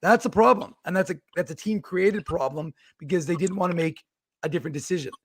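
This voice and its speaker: A hoarse man co-hosting a sports talk show, his voice high and gravelly from losing it. He rambles as he builds his questions out loud. The sound is dry and close. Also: brisk, no echo. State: hoarse, has lost his voice. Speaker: co-host.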